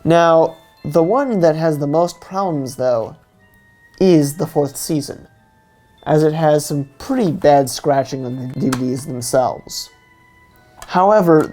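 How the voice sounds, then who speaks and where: A man narrates a scripted review with earnest enthusiasm. His mellow voice has plenty of fry and swings widely in pitch. Faint music plays behind him. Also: lively intonation, takes it slowly. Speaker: video reviewer.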